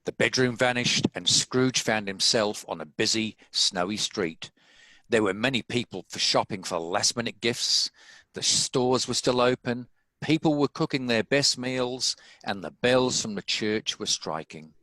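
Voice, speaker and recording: A man's voice: medium pitch, unhurried, bright, forceful tone, dry recording. A man reading scripted story narration as the narrator of a dramatic table read.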